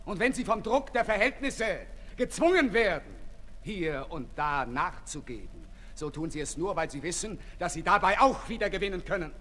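An actor playing an ailing, embittered revolutionary who exhorts the people with urgent declamation in an old radio play. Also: male voice, high voice, sing-song, moderate pace, pushed and bright, heavily acted. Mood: grim warning. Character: fiery ailing revolutionary orator, fervent and embittered. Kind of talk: 1960s German radio play acting. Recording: 1960s radio recording, reverberant hall, hissy.

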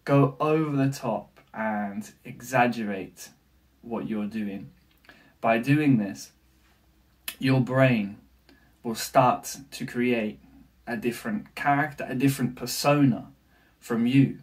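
A young Englishman talks straight to the camera in a small room, coaching viewers on learning languages. He is lively and talks with his hands, with plenty of filler sounds. His voice sits a little back from the mic, at an ordinary middle pitch. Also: slow pace, long pauses, distant mic, poor audio. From England. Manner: animated, gestures with hands, many fillers. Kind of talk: to-camera language tips video. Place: small room with bookshelf, indoors.

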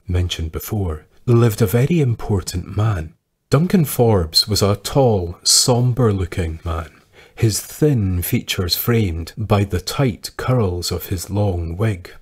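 A man reading scripted historical tales as a podcast narrator, measured and with dramatic pauses. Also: lowish voice, darkish tone, husky.

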